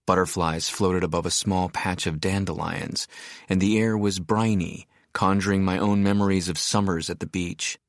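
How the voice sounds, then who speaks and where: A man narrating an audiobook in the first person as a reflective architect, in a measured, descriptive storytelling manner. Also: sits low, fairly even intonation, dry recording, clean studio sound. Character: reflective male architect narrating his arrival in a seaside town.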